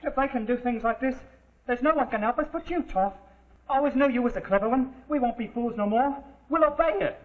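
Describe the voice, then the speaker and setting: A man's voice: extremely high pitch, fairly quick. An actor playing an anxious, obedient, uneducated crook, fawning on his boss in 1940s suspense style. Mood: pleading, fawning. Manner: anxious, deferential, uneducated speech. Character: scared, fawning lowly male crook, slow-witted and obedient. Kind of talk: 1940s live television suspense acting. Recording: muffled, narrow-band 1940s TV broadcast.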